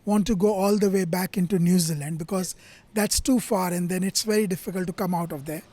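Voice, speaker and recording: A man's voice: sits high, fairly even intonation, fairly quick, warm tone, no room sound. A man from India who travels widely, answering a street interview in a wry, storytelling way.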